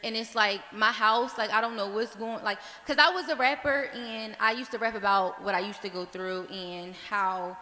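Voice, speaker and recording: A woman's voice: mid-range voice, moderate pace, hardly any pauses, bright, forceful tone. A woman in the audience giving an impassioned, rambling comment at a panel, searching for words between fillers. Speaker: audience member speaking at a panel. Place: large echoey hall. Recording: echoey hall with long reverb.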